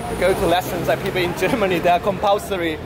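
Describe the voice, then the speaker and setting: A man raised in Malaysia talks through local life slowly, filling gaps with "um." His voice sits a bit high for a man. Loud traffic and street ambience surround him. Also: fairly even intonation. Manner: hesitant, many "um"s, explanatory. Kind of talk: casual conversation.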